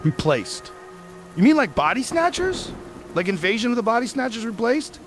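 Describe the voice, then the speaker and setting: A man's voice: extremely high pitch, very animated, normal speed, faint music behind. A man acting a curious, skeptical talk show host in an audio drama, probing in a conversational way. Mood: incredulous.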